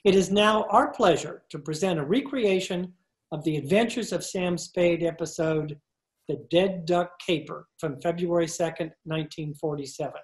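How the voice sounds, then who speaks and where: A woman's voice: on the low side, normal speed, a little mellow, poor audio. A woman giving a scripted announcer's introduction to a radio play, recorded at home. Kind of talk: scripted narration. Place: speaker's home, recorded over video call.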